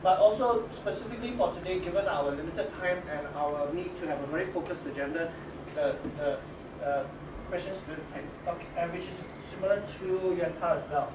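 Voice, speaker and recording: A man with a Singaporean English accent leading a workshop, introducing his team in halting speech, full of restarts and checks of "okay?". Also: fairly high pitch. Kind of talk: casual spoken presentation to teachers. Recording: echoey room from a distance with noise.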